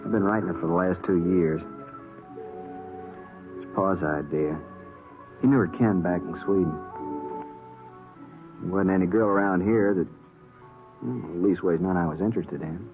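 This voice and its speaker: A man's voice: sits low, normal speed, long pauses. An actor playing a bitter, defensive young condemned man in a 1950s radio western, with rustic, folksy speech. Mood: wistful. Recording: muffled old radio recording, faint music.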